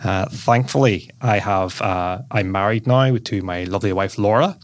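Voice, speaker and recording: A man's voice: on the low side, normal speed, no echo. A man in his forties from Northern Ireland with a Belfast accent, telling his life story as a podcast guest in a chatty way, often addressing the host by name. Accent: Northern Irish, Belfast. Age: 40s. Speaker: guest, former lawyer.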